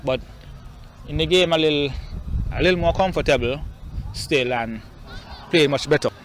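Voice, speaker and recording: A man's voice: on the high side, moderate pace, fairly bright tone, slight background noise. A man with a Kittitian accent, a football coach speaking informally in an interview. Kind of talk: post-match interview, informal.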